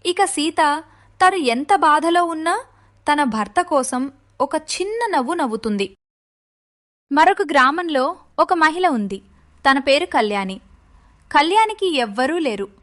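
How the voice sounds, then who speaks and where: High-pitched woman storyteller. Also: fairly bright tone. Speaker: narrator. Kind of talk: scripted children's moral-story narration.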